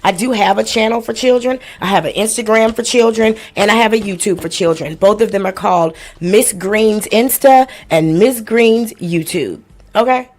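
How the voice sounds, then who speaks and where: A woman's voice: medium pitch, normal speed. A woman in her forties with a mid-range voice hosts a casual livestream. She talks straight to her viewers in loose, slangy speech. Her manner is blunt and playful, with swearing dropped in freely. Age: 40s. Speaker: livestream host. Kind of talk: casual livestream chat with house rules and shout-outs.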